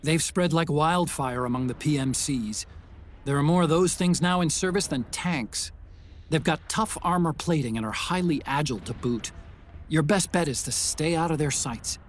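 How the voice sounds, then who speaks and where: A man's voice: a little high, moderate pace, a little hiss or hum, lightly acted. An actor playing an earnest, brainy male engineer in a video game, explaining things in an articulate, friendly way. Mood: earnest, informative. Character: Otacon, earnest brainy male tech engineer, mission support. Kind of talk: video game cutscene acting, mission briefing.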